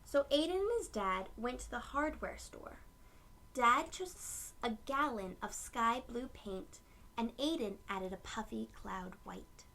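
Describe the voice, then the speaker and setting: A woman with a fairly high voice and a noticeable creak reads a children's story aloud. She phrases things gently and simply, speaking to young listeners as she goes. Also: lively intonation, takes it slowly. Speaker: storyteller reading a picture book aloud to children. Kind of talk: children's read-aloud narration with asides to listeners.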